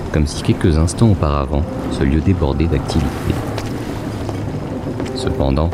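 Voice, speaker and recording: A man narrating an audio fiction in a measured, literary storytelling style. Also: a bass voice, very noisy.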